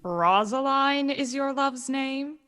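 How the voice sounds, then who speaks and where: Slow-talking, melancholy cynic. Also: female voice, sits high, a bit bright. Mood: sardonic, disdainful. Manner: dry, disdainful. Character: melancholy, sardonic cynic who rails at the world. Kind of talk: Shakespearean radio play acting.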